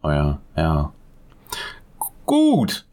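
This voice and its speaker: Man, very low-pitched; casual podcast co-host, jokey and crude. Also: drawn-out words, clear, resonant tone. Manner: joking, crude slang, lively asides. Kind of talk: casual true-crime podcast chat.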